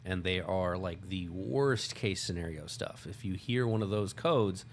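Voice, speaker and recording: A man with a very deep voice talks informally as a co-host on a workplace show. He explains procedures in an unscripted, conversational way. There is clear noise and room ambience behind him. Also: a little slow. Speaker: co-host, security company lieutenant. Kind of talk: casual unscripted work talk show.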